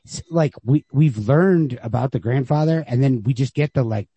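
A man's voice: mid-range voice, moderate pace, warm tone, breathy edge, dry recording. A man hosting a podcast, casually discussing a story in a rambling way, retelling it with quoted reactions of his own. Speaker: podcast host. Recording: lo-fi home podcast recording.

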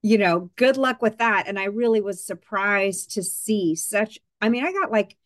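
A woman's voice: mid-range voice, lively intonation, no room sound, clean studio sound. Chatty woman hosting a podcast. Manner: chatty, quick asides, self-correcting. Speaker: podcast host. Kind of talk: casual interview chat.

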